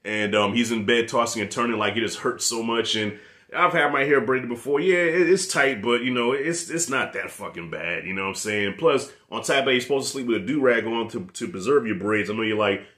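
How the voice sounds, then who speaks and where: A man's voice: mid-range voice, fairly quick. A man in his thirties with a New York accent, reviewing a show on camera from his living room. He rants in a casual, loose way, swearing freely and talking with his hands. He speaks into a desk mic set up right in front of him. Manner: profane, animated, talks with his hands. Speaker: movie reviewer.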